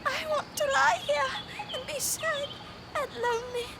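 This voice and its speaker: A minuscule, extremely high mouse child, melodramatic and sulky in a storybook audio play. Loud noise runs through the recording. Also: female voice, measured pace. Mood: dismal, sulky. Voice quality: tiny, squeaky. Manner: dramatic, sulky. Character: melodramatic, gloomy young girl field mouse. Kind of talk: audio drama acting.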